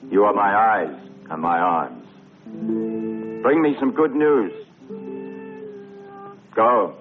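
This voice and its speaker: A man's voice: lowish voice, very animated, slow. A man playing a proud, embittered Greek warrior hero in a dubbed historical epic film, theatrical and sardonic. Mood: bitter, sardonic. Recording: tinny old film soundtrack with music behind.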